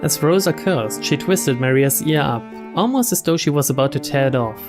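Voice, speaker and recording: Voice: medium pitch, expressive, normal speed. A narrator giving a scripted reading of a story from a visual novel. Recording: voice over clear string music.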